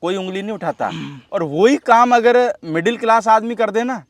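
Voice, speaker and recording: A man's voice: sits high, animated, moderate pace, no echo. A man interviewing in a casual, colloquial way, making his points emphatically and at some length. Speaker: interviewer, host.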